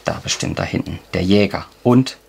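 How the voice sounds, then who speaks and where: Low-voiced, lively man explaining games. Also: normal speed. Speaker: host of a family board game channel, father. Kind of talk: casual explanatory game play-through with a child. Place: indoors at a table at home. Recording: clearly noisy room, clicking game pieces.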